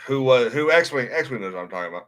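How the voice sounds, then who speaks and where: A man's voice: medium pitch, expressive, a little fast, a bit bright. A man hosting a livestream, chatting casually in a rambling, blunt way. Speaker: livestream host. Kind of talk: casual livestream chat and commentary.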